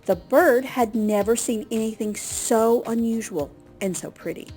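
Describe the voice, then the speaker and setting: A woman reading a children's story aloud as an expressive storyteller. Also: a little high, a little slow, soft background music.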